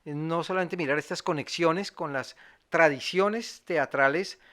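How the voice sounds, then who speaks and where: A man's voice: fairly high pitch, a little slow, pushed and bright. A man giving a reflective, discursive analysis as a theatre commentator, often checking for agreement.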